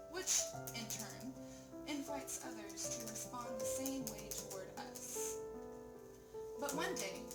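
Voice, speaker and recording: A woman playing a thoughtful, tender mother who narrates her blog in measured, deliberate phrases for an audio play. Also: fairly high pitch, natural voice. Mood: reflective. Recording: roomy, distant mic under loud music. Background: loud music.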